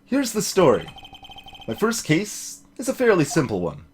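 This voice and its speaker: A man's voice: high voice, huge pitch swings, normal speed, a little hiss or hum. A man voicing an earnest, nervous young male rookie lawyer in a video game, a little hesitant, over music. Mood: wry narration. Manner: hesitant, stammering. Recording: music playing behind the voice.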